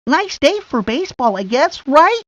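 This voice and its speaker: A woman voicing a shy, self-doubting young boy in a video game, speaking in halting, hesitant bursts. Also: high voice, big pitch swings, dry recording. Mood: nervous, hesitant. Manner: hesitant, halting, full of ums. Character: shy, nervous young boy ballplayer, self-doubting and eager to be left alone. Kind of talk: video game character monologue. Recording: dry, lo-fi 1990s game audio.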